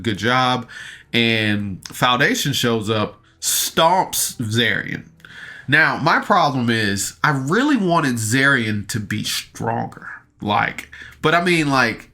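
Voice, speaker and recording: A man with a bright, forward voice at an average pitch talks like an excited fan reviewing a show on his own. He rambles casually, stopping to rephrase and double back, with slangy wording along the way. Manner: rambling, hesitant, often backtracking and rephrasing. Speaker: podcast reviewer.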